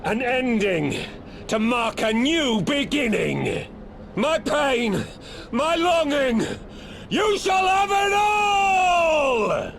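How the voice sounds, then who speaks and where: An actor playing a vengeful, grandiose rebel mastermind in a fantasy video game, speaking in theatrical, ominous speeches. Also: male voice, extremely high pitch, very animated, drawn-out words, gravelly, noisy background, full character voice. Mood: ecstatic, crazed. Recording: loud music behind. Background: dramatic music.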